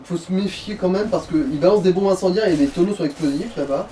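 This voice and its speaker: A man with a soft-edged, mellow voice, a little high guides a player through a game. He explains casually in colloquial phrases. He sounds slightly away from the mic. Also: fast. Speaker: co-commentator guiding the player. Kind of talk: casual gaming chat giving directions. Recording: slightly distant, noisy voice recording.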